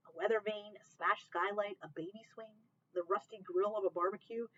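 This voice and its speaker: A woman reads a children's novel aloud with expressive storybook narration. Her voice is bright and forward. The recording sounds somewhat dull and lo-fi. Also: medium pitch, fairly quick. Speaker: library read-aloud reader.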